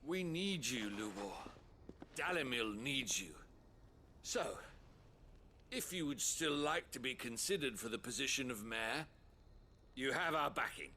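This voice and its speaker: An actor playing an earnest, contrite town leader in a fantasy game, speaking solemnly and formally. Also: male voice, medium pitch, lively intonation, measured pace, long pauses, bright, forceful tone, slight background noise. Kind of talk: dramatic video game dialogue.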